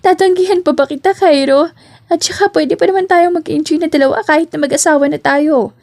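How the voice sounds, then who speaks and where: A woman's voice: high-pitched, fairly even intonation, a little fast, creaky, a little mellow, pitched above the performer's own voice. A woman voicing an emotional, tender young woman in love in a romance audio drama. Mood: earnest, tender.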